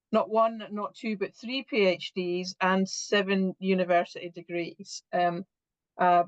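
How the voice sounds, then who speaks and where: A woman's voice: lowish voice, a little mellow, no echo, highs cut off. A woman hosting an event, introducing a guest speaker in a hesitant way with many fillers, over a video call. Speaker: event host.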